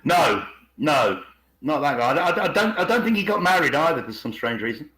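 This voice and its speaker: Man, higher-than-average voice, informal commentary, rhetorical questions, emphatic repetition, echoey video call. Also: big pitch swings, fairly quick, sharp tone. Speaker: video channel host.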